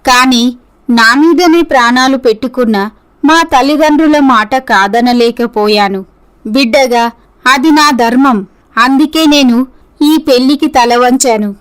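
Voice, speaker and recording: A woman acts out an earnest young office woman in a romantic moral story. Her voice is high, and she delivers her lines in a deliberate, dramatic way. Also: smooth. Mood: pleading, resigned. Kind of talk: scripted moral story dialogue.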